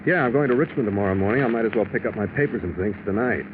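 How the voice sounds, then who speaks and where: A man's voice: fairly low pitch, racing, natural voice. An actor playing an impatient, lovestruck man in a 1940s radio drama, slangy and pleading with his married lover. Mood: reassuring. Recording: noisy, tinny 1940s radio recording.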